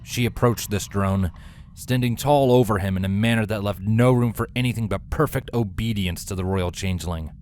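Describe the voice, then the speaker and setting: Low-voiced man narrating a story. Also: moderate pace, a little mellow, a little hiss or hum. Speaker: audiobook-style narrator.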